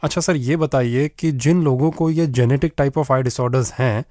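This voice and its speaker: A man's voice: medium pitch, measured pace, darkish tone, no echo, clean studio sound. A man with an Indian accent hosting a radio interview, putting questions politely and formally.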